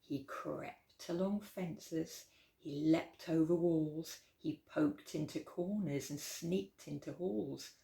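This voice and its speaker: A woman reading a rhyming children's picture book aloud as a storytime host, with expressive, dramatic emphasis. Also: low voice, slow pace, vocal fry. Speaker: library storytime reader. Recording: roomy, echoey indoor sound.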